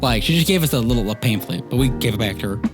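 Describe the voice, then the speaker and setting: A tabletop game player speaks casually with a highly animated pitch. They describe what their character does while music plays clearly underneath. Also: rapid-fire.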